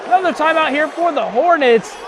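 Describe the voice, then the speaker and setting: A young man giving excited, projected live radio play-by-play of a basketball game. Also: very high-pitched, brisk. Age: young adult. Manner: excited, projected, often shouting calls. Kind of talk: live radio sports commentary. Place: courtside in a basketball arena. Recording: loud crowd and gym noise behind. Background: crowd, bouncing ball, gym noise.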